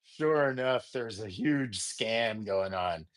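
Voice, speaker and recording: A man with a deep voice hosting a casual audio gear tutorial, rambling and halting with many fillers. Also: expressive. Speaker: podcast host.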